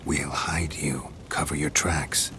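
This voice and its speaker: An actor voicing a gruff, stoic monster hunter in a video game, gravelly and hushed. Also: male voice, very deep voice, fairly even intonation, slow pace, a little hiss or hum, heavily acted. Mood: hushed, resolute. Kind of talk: dramatic video game voice acting.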